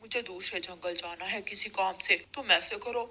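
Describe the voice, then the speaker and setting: Woman as a calm, lowered-voice male cartoon pigeon. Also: normal speed, slightly rough. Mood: obliging. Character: easygoing, helpful male pigeon bus driver. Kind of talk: cartoon story voice acting.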